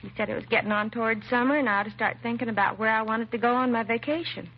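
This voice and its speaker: An American actress playing a timid, self-doubting young secretary in a 1950s radio drama, hesitant and apologetic. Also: female voice, on the high side, fast, close to an everyday voice. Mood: earnest, hesitant. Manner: hesitant, nervous, apologetic, trailing and restarting. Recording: muffled vintage radio recording, faint hiss.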